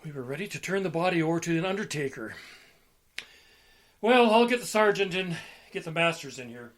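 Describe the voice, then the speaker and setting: An actor playing a brisk, practical police lieutenant in an old-time radio drama. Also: male voice, a little high, lively intonation, close to an everyday voice. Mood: businesslike.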